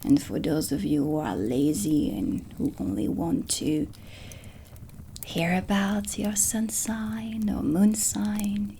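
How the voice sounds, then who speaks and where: A woman playing a stern, dry dark witch teacher in a soft-spoken ASMR roleplay, deliberate and often slipping toward a whisper. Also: medium pitch, gravelly. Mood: stern, instructive. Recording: close voice under prominent ambient music. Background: ambient music.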